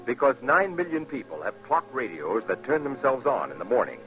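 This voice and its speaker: A man's voice: medium pitch, sing-song, fairly quick, highs cut off, over music. A man reading a scripted promo in 1950s radio announcer style.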